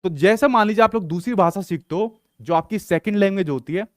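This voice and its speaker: A man's voice: high-pitched, a little fast, no echo, clean studio sound. A young male teacher lecturing in an online exam-prep class, repeating points and asking rhetorical questions he answers himself. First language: Hindi.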